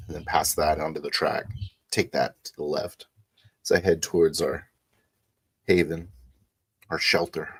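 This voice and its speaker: A man's voice: very deep voice, breathy edge. A man improvising first-person narration in a tabletop roleplaying game, hesitantly piecing his actions together.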